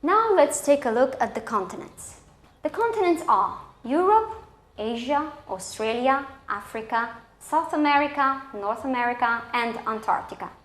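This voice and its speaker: A woman's voice: high voice, animated, normal speed, fairly bright tone. A woman with a Romanian accent presenting a scripted English lesson for schoolchildren, enunciating each example in a didactic way. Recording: echoey, roomy sound.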